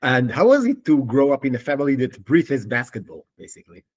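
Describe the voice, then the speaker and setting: A man's voice: medium pitch, sing-song, fairly quick. Man asking short interview questions. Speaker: podcast host.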